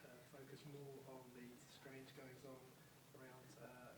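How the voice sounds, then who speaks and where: A man's voice: medium pitch, completely flat delivery, measured pace. Hesitant male event host, echoey and distant. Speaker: event host introducing a performance. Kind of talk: informal, unscripted welcome speech. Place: arts venue, recorded from afar. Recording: echoey, noisy, distant microphone.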